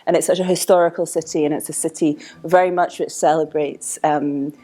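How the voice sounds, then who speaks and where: A Scottish woman reflects on her writing in an interview. Her voice is dark and relaxed, a little low, and she rephrases as she searches for her point. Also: a little fast. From Edinburgh, Scotland. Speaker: writer.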